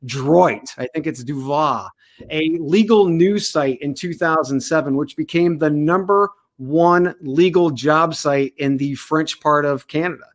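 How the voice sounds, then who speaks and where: A man from the United States hosts an online panel in a casual, joking way. His voice sits a little higher than most men's. He speaks loosely and with energy, often with a grin in his tone. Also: a little slow. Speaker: host.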